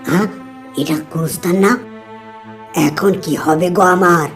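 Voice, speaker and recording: A woman acting a nervous cartoon wayfarer, afraid of ghosts, with a gravelly, creaking voice. The delivery is slow and sits over soft music. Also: fairly low pitch, fairly even intonation. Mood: fearful. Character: timid traveller, singing to self, scared of ghosts.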